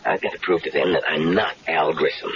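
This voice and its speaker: An actor playing a desperate, nervous man mistaken for a crook, in a 1970s radio drama, talking in halting bursts. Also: a bass voice, steady tone, fairly bright tone. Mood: worried. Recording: dull hissy old radio broadcast.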